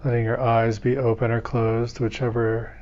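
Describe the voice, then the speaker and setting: Male meditation teacher, calm and instructive, level monotone delivery, muffled lo-fi sound. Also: mid-range voice. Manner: slow, soothing, gently instructive. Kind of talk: guided meditation.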